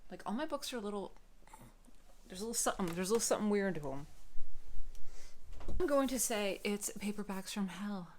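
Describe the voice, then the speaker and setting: Woman doing a chatty book vlog, voice a bit on the low side, expressive and emphatic. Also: slight background noise. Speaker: book vlogger. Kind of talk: casual chat to camera.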